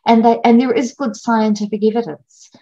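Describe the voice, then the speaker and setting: Gravelly, husky New woman lecturing. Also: on the high side, steady tone, mellow, highs cut off. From New Zealand. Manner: measured, explanatory, with frequent ums and restarts. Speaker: clinical academic presenting at a conference. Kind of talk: online conference lecture over slides.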